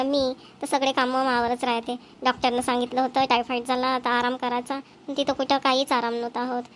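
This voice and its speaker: A woman's voice: high voice, slight background noise, close to an everyday voice. A woman playing an overworked, plaintive village housewife in a family cartoon, with a rural Varhadi Marathi accent. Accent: Maharashtrian, rural Varhadi Marathi.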